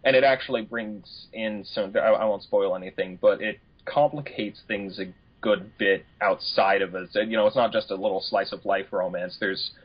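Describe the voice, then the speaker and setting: A man's voice: lowish voice, steady tone, moderate pace, hardly any pauses, breathy edge. A man co-hosting a casual podcast chat, rambling and easygoing as he gives his opinions. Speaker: podcast co-host. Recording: dull, lo-fi podcast audio.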